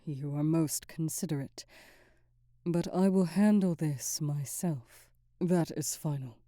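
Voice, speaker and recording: A woman acts out a stern, regal snow queen from a fantasy ASMR roleplay, in a low, very dark and muted voice. She speaks slowly and formally, with restrained, imperious authority. It is recorded close and dry. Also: dry recording. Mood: guarded, firm. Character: cold, stern, regal snow queen hiding her vulnerability.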